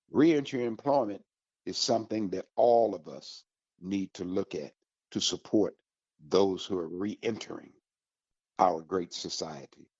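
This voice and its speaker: An American man hosting an informational radio talk, speaking with emphatic, deliberate, motivational phrasing. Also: a little mellow, breathy edge. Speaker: radio host. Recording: muffled, roomy, echoey low-fidelity sound.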